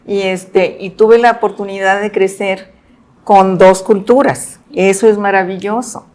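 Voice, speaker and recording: A woman interpreter on a panel, a lively storyteller who acts out quoted dialogue. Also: mid-range voice, unhurried. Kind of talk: panel discussion, anecdote.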